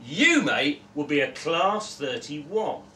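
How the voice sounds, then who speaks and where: Man acting loud, blunt, matey bloke, echoey room. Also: fairly high pitch, sing-song, takes it slowly, noisy background. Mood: emphatic, dismissive. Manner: emphatic, repetitive, matey. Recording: roomy echo, distant camera mic.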